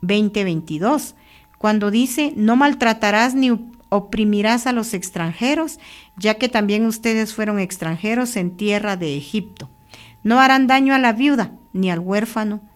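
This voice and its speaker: A Guatemalan woman speaks from a radio studio booth, hosting a church program. She greets listeners and reads scripture in a steady, unhurried, pastoral manner. Her voice sits at a middle pitch for a woman. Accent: Guatemalan Spanish. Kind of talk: radio talk and scripture reflection.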